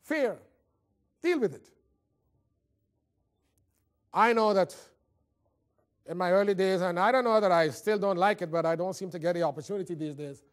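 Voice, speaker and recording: A man's voice: sits high, normal speed, pauses often. A man with a Caribbean accent giving a motivational talk, telling humorous personal stories in a theatrical, conversational way. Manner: theatrical storytelling, acting out dialogue. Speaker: motivational speaker.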